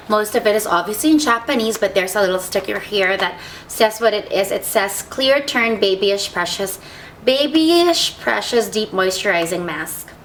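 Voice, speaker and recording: A woman's voice: medium pitch, a bit bright, a little hiss or hum. A young woman who feels under the weather and sounds congested, chatting casually as she reviews beauty products and reads their labels aloud. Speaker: beauty vlogger.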